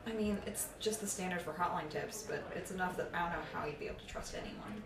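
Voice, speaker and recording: Fast-talking, analytical young woman, acted by a woman. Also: on the low side, natural voice. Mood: uneasy. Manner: rambling, reasoning aloud. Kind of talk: naturalistic audio drama acting. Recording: roomy, distant, crowd murmur behind.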